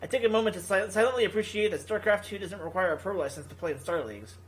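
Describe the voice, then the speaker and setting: A man's voice: sits high, fast. A man reading a visual novel aloud as a gaming video host, stumbling now and then and rereading his lines.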